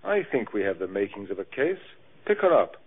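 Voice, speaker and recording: An actor playing a brisk, authoritative police inspector questioning people in an old-time radio crime drama. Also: male voice, lowish voice, very animated, breathy edge, narrow, tinny audio, full character voice. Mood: businesslike. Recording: thin band-limited old radio recording, music.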